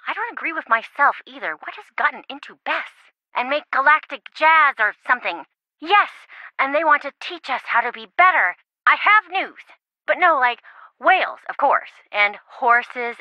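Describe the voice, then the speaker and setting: An actress playing a bubbly, scatterbrained young best friend in a video game, chatty, playful and melodramatic, in band-limited audio. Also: female voice, high-pitched, sing-song, moderate pace, pushed and bright, no echo, dull audio, full character voice. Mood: playful, excited. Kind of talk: video game phone-call dialogue.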